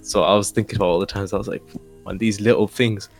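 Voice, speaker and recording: Low-voiced young man. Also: steady tone, quick pace, creaky, faint music behind. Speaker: podcast co-host. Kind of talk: casual podcast chat.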